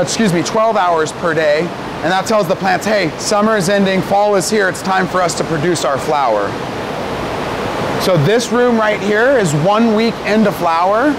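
An American man in his forties explaining things step by step in a plain-spoken way as he leads a facility tour. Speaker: company president giving a facility tour. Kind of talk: explanatory guided tour, unscripted talk. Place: large indoor grow room with fans running. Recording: loud rumbling fan and machinery noise.

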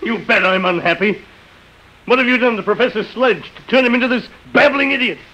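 A man's voice: extremely high pitch, bright, forceful tone, heavily acted, rough recording. A man playing an anxious, agitated man cornered by robots in a 1950s radio drama. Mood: indignant. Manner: agitated, halting under pressure.

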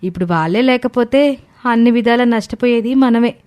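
A woman's voice: fairly high pitch, normal speed, darkish tone, lightly acted. A woman playing a shrewd, bossy young daughter-in-law in a family moral story, nagging and commanding. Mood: bossy, urging.

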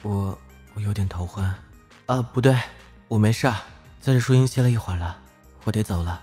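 A man's voice: lowish voice, brisk, dark tone, slightly rough, no echo, faint music behind. A young man playing a shy, conscientious trainee traffic policeman in an audio drama, hesitant and earnest. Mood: flustered.

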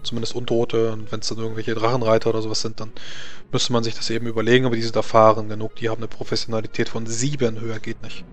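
Man explaining rules over soft music. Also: fairly low pitch, completely flat delivery, fast talker. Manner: affirming, explains rules plainly. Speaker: game master.

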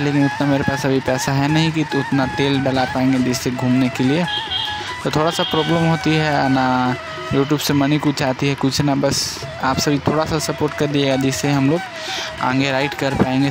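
A man's voice: medium pitch, low-quality recording. Chatty man narrating a night bike ride. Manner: chatty, repeatedly asks viewers to like and subscribe. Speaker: vlogger. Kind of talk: casual travel narration. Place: riding a motorbike through city streets at night. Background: loud background music.

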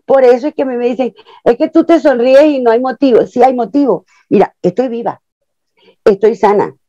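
A woman in her sixties, a guest on a video call, speaking with expressive energy in a slightly high, mellow voice. The sound is dull and lo-fi, picked up by an earphone mic in a small room at home with a dry, close sound. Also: brisk, no echo. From Venezuela. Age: 60s. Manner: expressive, animated storyteller with lots of hand gestures. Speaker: actress and guest. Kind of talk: casual interview chat. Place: small room at home, plain wall behind.

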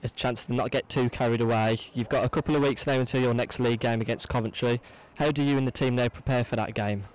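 A man's voice: mid-range voice, fairly even intonation, fast, hardly any pauses, clear, resonant tone, low-quality recording. A man interviewing for a football club, asking fluent, presenterly post-match questions.

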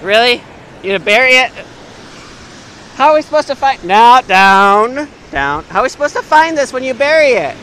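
Young guy, bright voice, casual; handheld phone on a windy beach, short dog commands. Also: very high voice, big pitch swings, normal speed, rough recording. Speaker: dog owner filming a beach walk. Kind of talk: casual chat. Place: sandy lake beach outdoors, waves behind. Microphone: handheld phone camera. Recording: faint surf and wind noise.

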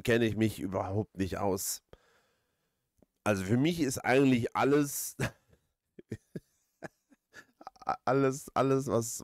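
Low-pitched man rambling through a game. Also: a little mellow, no echo, clean studio sound. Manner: halting, rambling, repeats words. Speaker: let's play host. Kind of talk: casual gaming commentary.

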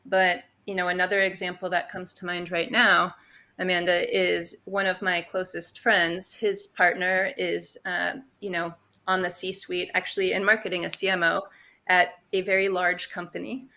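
A woman talking as a guest on a video-call podcast, thoughtful and reflective with many pauses, a little hoarse. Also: lowish voice, flat delivery, pushed and bright. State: slightly hoarse. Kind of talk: casual interview talk. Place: home room, indoors. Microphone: earbud headset on video call.

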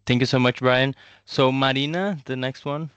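A man's voice: medium pitch, steady tone, moderate pace, a bit bright, clear, resonant tone, no echo, dull audio. A man hosting an online webinar, inviting participants to introduce themselves, with frequent fillers and a friendly, encouraging manner over a video call. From Brazil and Italy. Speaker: webinar host, community manager.

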